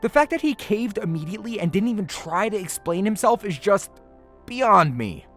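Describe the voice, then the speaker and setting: A man gives an opinionated, scripted review in the style of a video essay, dropping in casual asides. His delivery swings widely in pitch and sounds exasperated and sarcastic, leaning on key words for emphasis. Soft music plays behind his voice. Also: big pitch swings, moderate pace. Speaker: anime reviewer.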